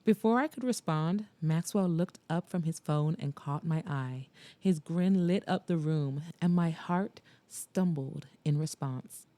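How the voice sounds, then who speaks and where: A woman narrates a romance audiobook in a soft, intimate storytelling voice. Her tone sits low and mellow, recorded close and completely dry. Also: a little slow, dry recording. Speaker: narrator and podcast host. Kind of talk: first-person audiobook narration.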